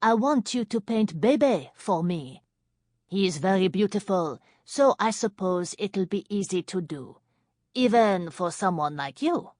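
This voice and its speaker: A woman voicing a glamorous, scornful French woman in her late fifties, drawling slowly with a thick French accent. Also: medium pitch, lively intonation, darkish tone, no echo, clean studio sound, voice pushed up. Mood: haughty. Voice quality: slow, drawling, contemptuous.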